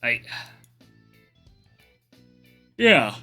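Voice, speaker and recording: A man voicing a guarded, gruff male acquaintance in a visual novel, speaking in a terse, evasive way. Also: very slow, pushed and bright, soft background music. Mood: evasive, uneasy. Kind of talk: visual novel character voice-acting.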